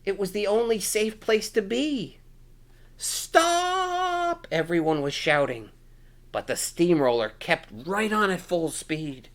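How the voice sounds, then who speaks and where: A man reads a children's story with very lively, swinging intonation. His pace is unhurried, and he gives the words playful, dramatic emphasis in an exclamatory storytime style. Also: high-pitched. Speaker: storytime reader. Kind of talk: animated children's picture-book reading.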